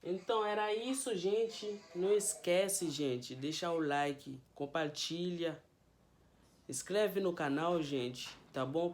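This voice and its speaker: Very slow-speaking teacher. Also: medium pitch, slight background noise. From Haiti. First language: Haitian Creole. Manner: spells out each letter twice, loud and drawn out; keeps checking in with viewers ("tá bom?"). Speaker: language teacher on own channel. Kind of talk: casual video lesson.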